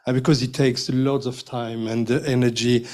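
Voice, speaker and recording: A man's voice: mid-range voice, flat delivery, moderate pace, darkish tone. A man speaks on a panel discussion in a hesitant way, often breaking off mid-thought. He laughs at himself easily as he explains things. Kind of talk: casual panel chat.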